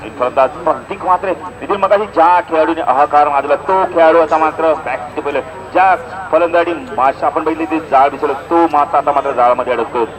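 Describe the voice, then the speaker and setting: Male cricket commentator, fairly high voice, live at an outdoor local tournament with clear crowd noise. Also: fairly quick, rough recording. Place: outdoor village cricket ground. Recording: outdoor event sound, crowd behind.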